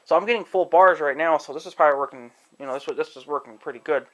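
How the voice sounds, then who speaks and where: A man giving a casual, rambling demonstration to viewers, halting and thinking aloud as he talks. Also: a little high, fairly bright tone, muffled recording. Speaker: amateur video creator demonstrating an app. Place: indoors in own room at home.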